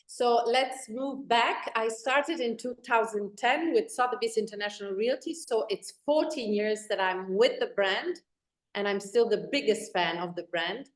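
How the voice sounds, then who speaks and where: A woman's voice: on the high side, measured pace. An Italian woman with a German-tinged accent, a real estate executive answering interview questions in a composed, businesslike way. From Italy. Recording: echoey room.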